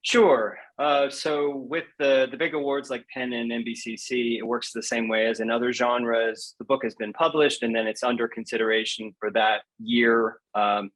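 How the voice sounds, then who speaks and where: A man's voice: mid-range voice, steady tone, moderate pace, hardly any pauses, fairly bright tone, poor audio. A man speaking as a poet guest in a casual interview, hesitant and playful as he explains things.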